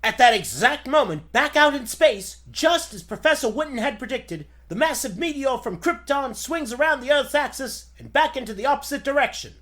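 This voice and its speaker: A man's voice: very high-pitched, expressive, normal speed, hardly any pauses, sharp tone. A man narrating dramatically in the style of a 1940s radio serial.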